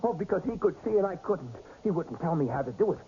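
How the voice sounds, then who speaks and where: A bitter, volatile character in a 1980s radio drama. His voice sits fairly high and jumps around in pitch, insistent and defiant but soft-edged in tone. The old recording is muffled and slightly noisy. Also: lively intonation, fast. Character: resentful, volatile man with a newfound psychic gift.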